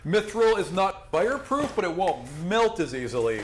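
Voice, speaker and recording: A man's voice: sits high, big pitch swings, moderate pace, a little hiss or hum. A man running a tabletop role-playing game, describing things in an informal, off-the-cuff way with casual asides. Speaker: game master.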